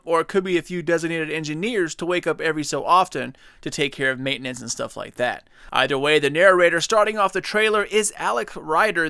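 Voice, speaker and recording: A young man casually breaking down a video game trailer for his viewers, chatty and excited. His voice is bright, smooth and slightly high. The close mic sounds dry, with no room behind him. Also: dry recording. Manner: enthusiastic, chatty, speculative. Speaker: gaming channel host.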